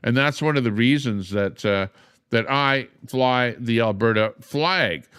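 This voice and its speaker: A man's voice: mid-range voice, a little slow, a bit bright, clean tone, no room sound, studio quality. An older man hosting a livestream, explaining at length with frequent "uh"s and a repeated "okay?". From Canada. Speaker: livestream host. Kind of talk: casual livestream monologue.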